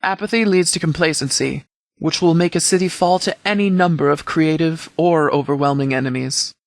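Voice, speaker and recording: A man playing a stern, principled post-apocalyptic sheriff in a video game, speaking in a formal, measured, articulate way. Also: a little high, creaky, no room sound. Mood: grave, measured. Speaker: voice actor in a game mod. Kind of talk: scripted video game character dialogue.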